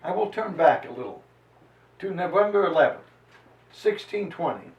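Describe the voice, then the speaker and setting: A man giving a solemn, theatrical dramatized reading of a historical narrative, declaiming like a preacher with dramatic pauses and swells. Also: high voice, lively intonation. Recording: roomy echo, distant microphone.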